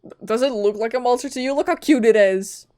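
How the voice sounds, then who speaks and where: A woman's voice: on the high side, fast, warm tone. A woman streamer giving casual, playful reactions while playing a game, often laughing and blurting out comments. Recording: game audio in the background.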